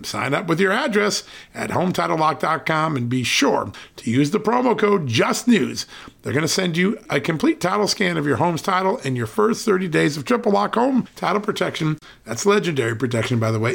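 A man's voice: fairly high pitch, creaky, fairly bright tone, husky. A middle-aged American man hosting a political program, talking in an emphatic, folksy broadcast style. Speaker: host and interviewer. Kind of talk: political interview and sponsor ad reads.

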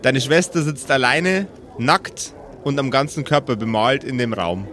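A man narrating an improvised tabletop game as game master, in a theatrical, deliberate storytelling manner. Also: mid-range voice, fairly bright tone.

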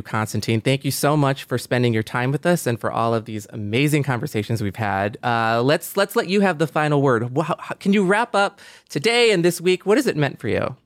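A man's voice: on the high side, no room sound, clean studio sound. A man hosting a podcast in a casual conversational style, speaking in an earnest, encouraging way. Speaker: podcast host.

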